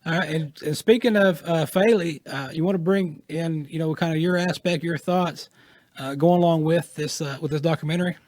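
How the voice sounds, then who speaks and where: A man hosting a talk show, asking questions in a hesitant, halting way. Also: a little high, darkish tone, dry recording. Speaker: show host. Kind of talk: casual interview.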